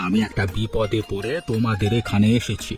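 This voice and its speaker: A man voicing a patient, humble old village schoolteacher in a cartoon, who speaks gently and calmly. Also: lowish voice, fairly quick, faint music behind, natural voice. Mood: gentle. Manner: gentle, calm, pleading. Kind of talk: cartoon voice acting.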